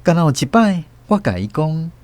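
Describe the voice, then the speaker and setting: A man with a Taiwanese accent giving expressive scripted narration of a literary story for radio drama. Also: on the high side, normal speed, no echo.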